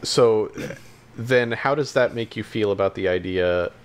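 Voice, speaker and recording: A man's voice: fairly low pitch, measured pace, smooth. A man co-hosting a podcast in casual chat, matter-of-fact and questioning, feeling his way through sentences. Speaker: podcast co-host.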